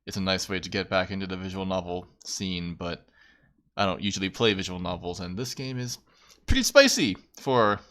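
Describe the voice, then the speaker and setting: A man's voice: sits low, a bit bright. A man streaming a game, reading aloud and giving casual commentary.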